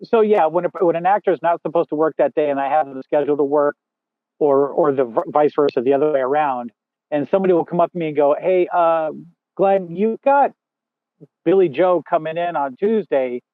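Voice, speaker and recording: A man with a big booming voice, a film assistant director chatting as an interview guest, rambling with many fillers and restarts. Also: brisk, dry recording. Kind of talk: casual interview chat. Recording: dry tinny phone-like sound.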